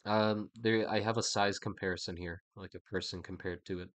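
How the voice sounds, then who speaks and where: A man's voice: lowish voice, steady tone, normal speed, fairly bright tone, dry recording. Stuffy-nosed man thinking aloud while drawing. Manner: rambling, stuttering restarts, thinking aloud. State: stuffy nose, a bit nasal. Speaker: artist streaming a creature design session. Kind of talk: casual livestream talk while drawing.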